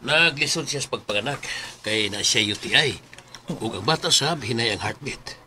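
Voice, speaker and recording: A man's voice: mid-range voice, lively intonation, moderate pace, sharp tone, slight background noise. A man with a Cebuano Filipino accent playing a grave hospital doctor in a radio drama. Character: grave hospital doctor giving bad news.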